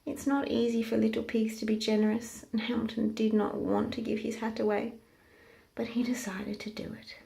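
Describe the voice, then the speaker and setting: Woman, high voice, children's storyteller, picture book read-aloud. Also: flat delivery, normal speed, vocal fry, warm tone. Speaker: storybook narrator.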